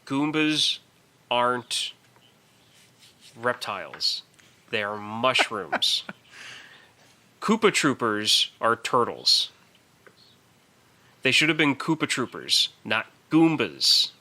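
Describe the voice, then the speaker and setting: Very bright-voiced man, casual podcast co-host. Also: mid-range voice, very animated, very slow, long pauses. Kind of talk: casual film discussion.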